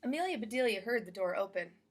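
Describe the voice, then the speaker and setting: A woman narrating a children's storybook aloud in an expressive read-aloud style. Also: mid-range voice, fast, background noise. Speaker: storybook reader.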